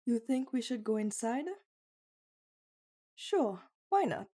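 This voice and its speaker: A woman's voice: fairly high pitch, animated, slow pace, dark tone. A woman voicing a young bunny girl in an ASMR roleplay, teasing, candid and naively curious in an intimate one-sided conversation. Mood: curious, innocent. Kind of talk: ASMR roleplay audio drama.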